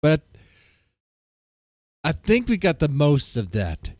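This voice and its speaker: A man's voice: fairly high pitch, wildly swooping pitch, slow, no room sound. Dry-sounding laid-back streamer man. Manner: laid-back, greets chat viewers. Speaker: livestream host. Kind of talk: casual livestream chat.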